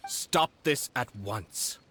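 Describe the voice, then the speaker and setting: An actor playing a composed, shrewd young nobleman in a video game, formal and measured. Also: medium pitch, lively intonation, heavy background noise. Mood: commanding.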